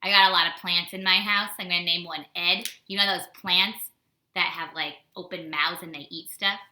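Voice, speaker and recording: An American woman speaks as a teacher to young children, with a very bright, forward voice. Her style is a casual storytime lesson, animated and simply worded, with questions put to the listener. The recording is echoey, like a reflective room at home. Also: fairly low pitch, normal speed. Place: indoors at home.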